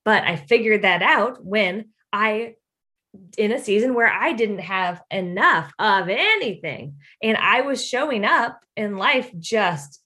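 A woman co-hosting a faith-based podcast, talking in an animated, confessional way and often slipping into playful quoted voices. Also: mid-range voice, takes it slowly. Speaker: podcast co-host.

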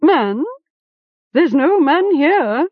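Woman voicing a mocking, screeching raven, very high, storybook creature, dull lo-fi sound. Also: sing-song, extremely slow, no echo, exaggerated voice. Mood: nervous. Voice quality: screechy, cawing. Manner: haughty, mocking. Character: cantankerous screeching male raven.

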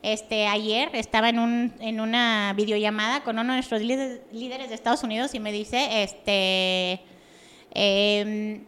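A woman's voice: fairly high pitch, fairly even intonation, measured pace, a bit bright, clear, resonant tone. Chatty Mexican woman hosting a podcast. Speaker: podcast host. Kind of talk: casual interview chat.

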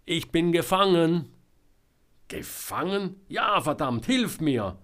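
Desperate trapped man for an audiobook, played by a male narrator: loud, high, strained, drawn-out pleas. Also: very animated, exaggerated voice. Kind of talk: dramatic audiobook character voice.

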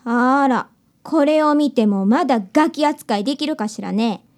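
Woman as a high-voiced, haughty little schoolgirl. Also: normal speed, a little mellow. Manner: haughty, cool, bossy. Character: cheeky, precocious little schoolgirl who challenges detectives. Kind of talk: radio drama acting.

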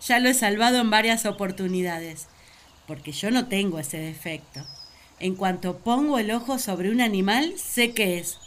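A woman's voice: medium pitch, expressive, moderate pace, a little hiss or hum, natural voice. A woman playing Eve, an earnest, talkative young first woman, telling her story in a radio play. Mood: self-assured. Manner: earnest, wistful, storytelling to the listener.

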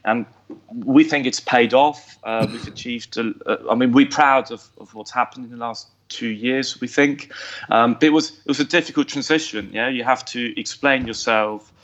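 A man from Switzerland calls in as a guest on a radio show. He is chatty and self-deprecating and laughs easily. His voice sits at a middling pitch and comes through a muffled, lo-fi phone line. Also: normal speed, fairly bright tone, slight background noise. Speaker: guest, company co-founder.

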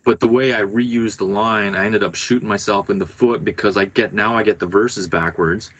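A man with a fairly low voice talks as a songwriter in a relaxed interview. He speaks haltingly, piecing his thoughts together as he goes. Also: slightly rough, poor audio. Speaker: musician guest, songwriter. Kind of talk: casual interview chat.

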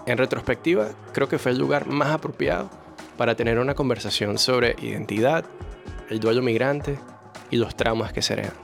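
Mid-thirties guy hosting, measured narration, relaxed chat, faint music behind. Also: mid-range voice, gravelly. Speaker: podcast host. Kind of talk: scripted narration and casual interview.

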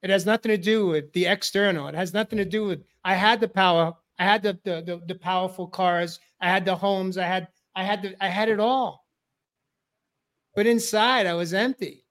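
A high-pitched man from the New York area hosts a men's talk show. He tells his story in a preacherly way and hammers key phrases through repetition. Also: normal speed, a bit bright. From New York area, USA. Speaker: host. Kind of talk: heartfelt personal sharing on a men's talk show.

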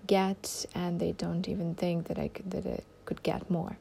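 A woman's voice: lowish voice, little pitch movement, normal speed, creaky, dark tone, whispered. A young adult woman hosting a casual solo podcast, talking in a rambling way with many ums and restarts. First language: not German. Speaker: podcast host.

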